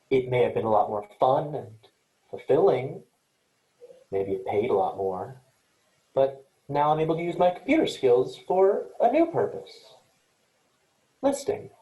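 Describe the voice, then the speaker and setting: Roomy echoey sound, woman acting earnest rule-following space programmer, animated mid-range voice, insistent, sci-fi play reading. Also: extremely low pitch. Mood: calm, resigned. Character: earnest, rule-abiding spaceship programmer, anxious and loyal to machines. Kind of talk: virtual staged play reading.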